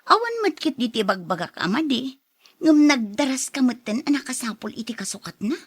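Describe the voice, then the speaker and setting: Husky, animated Ilocano gossip. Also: female voice, sits high, fairly quick, no room sound, studio quality, exaggerated voice. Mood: prying. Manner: prying, needling. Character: nosy gossiping female acquaintance, prying and critical. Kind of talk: Ilocano radio drama acting.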